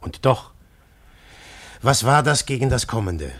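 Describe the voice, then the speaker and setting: A man narrating a radio play in the first person, quiet and reflective. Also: mid-range voice, lively intonation, unhurried.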